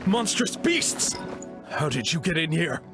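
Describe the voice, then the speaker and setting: A young game warrior, worried and earnest, fairly high voice that moves around a lot, faint music. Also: male voice, big pitch swings, a little slow. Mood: alarmed. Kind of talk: scripted game voice acting.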